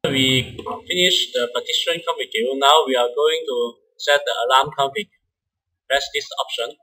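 A man who is a non-native English speaker presents a step-by-step software tutorial in a halting way, often repeating words. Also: medium pitch, poor audio. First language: not English.

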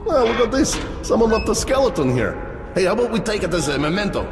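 An actor playing a cheeky wisecracking soldier in a video game, teasing and mock-obedient. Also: male voice, high voice, very animated, quick pace. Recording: echoey hall with music behind.

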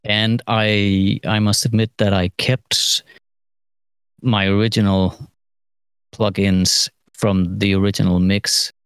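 Low-pitched man, halting and musing. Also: flat delivery, smooth, no echo. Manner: halting, musing, trailing phrases. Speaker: mixing engineer giving a lesson. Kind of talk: informal studio tutorial, thinking aloud. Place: recording studio, seated at desk.

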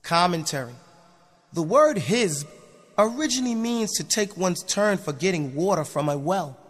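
A man reads explanatory commentary from a script. His voice sits high for a man and rings with a long, hall-like reverb. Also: expressive, normal speed. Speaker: commentary narrator.

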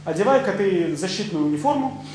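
A man's voice: high-pitched, lively intonation, a little fast, a little mellow, faint music behind. A young man working as a consecutive interpreter at a seminar, rendering a speaker's talk phrase by phrase. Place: seminar room with seated audience. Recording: very echoey room, noisy.

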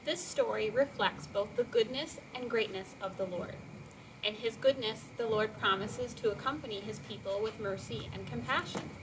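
A woman's voice: fairly high pitch, moderate pace. A woman in her thirties reading a devotional reflection outdoors, a few metres from the camera. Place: outdoors in front of a garage. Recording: distant mic, steady outdoor noise.

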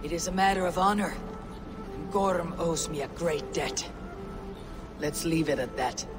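Game casting: stern young female Viking warrior, measured and guarded, few words, over prominent music. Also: low-pitched, fairly even intonation. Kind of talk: video game cutscene acting.

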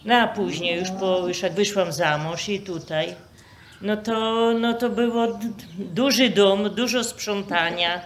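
A woman's voice: medium pitch, a little slow. An older woman, casually reminiscing. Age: 60s or older.

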